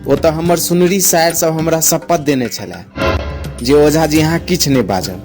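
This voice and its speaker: A man's voice: a little high, measured pace, music in the background. A man voicing an aggrieved, blustering village in-law in a comic cartoon, complaining with a Maithili accent. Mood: sheepish, aggrieved. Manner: complaining, indignant.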